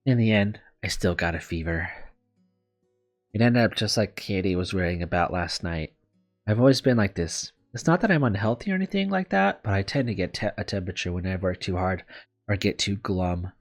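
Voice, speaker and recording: A man's voice: deep voice, expressive, fairly quick. A man voicing an anxious, self-doubting young woman teacher sick in bed in a visual novel, hesitant and self-pitying, with music behind. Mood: glum, apologetic. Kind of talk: visual novel read aloud. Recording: background music under the voice.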